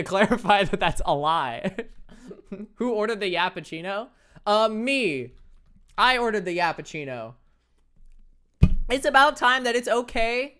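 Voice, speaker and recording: A young man whose voice sits light and high, talking casually to his viewers over a desk mic. He jokes at his own expense and laughs easily between comments. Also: sharp tone. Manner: playful, self-mocking, laughs often. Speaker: streamer. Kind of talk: casual chat reading viewer messages while gaming.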